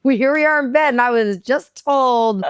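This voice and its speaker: A woman with a smooth radio-style voice, slightly hoarse, co-hosting a candid chat from bed, animated and teasing. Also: sits high, normal speed, noisy background. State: slightly hoarse from a lingering tickle. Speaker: podcast co-host. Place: in bed at home.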